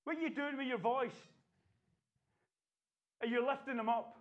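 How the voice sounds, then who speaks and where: A man preaching a sermon, building from quiet earnestness to loud, fervent exhortation. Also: very high voice, a little slow, dull audio. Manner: impassioned preaching, rising to shouts. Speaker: pastor. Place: church.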